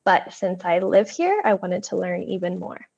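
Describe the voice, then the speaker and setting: A woman, a native English speaker, talking in a relaxed, thoughtful way with frequent ums during an online language exchange. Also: fairly low pitch, normal speed, gravelly. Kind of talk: casual conversation. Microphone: video call. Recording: dull lo-fi video call audio.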